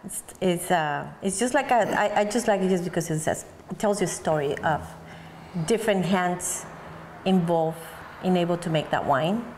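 Mexican-accented woman interviewee, lowish pitch, searching phrasing, faint noise and reverb. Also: normal speed. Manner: thoughtful, searching for words, soft laughs. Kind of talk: oral history interview. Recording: long hall reverb, faint background noise.

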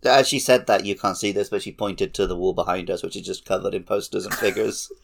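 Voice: sits low, fairly even intonation, fairly quick, creaky, slight background noise. A podcast co-host in casual conversation, joking and teasing. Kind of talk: casual chat.

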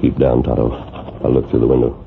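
An actor playing a calm, bold masked western lawman with a firm, clipped, authoritative delivery in a vintage radio drama. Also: very deep voice, fast, creaky. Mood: hushed, steady. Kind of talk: 1940s radio western acting. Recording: muffled, noisy old radio recording.

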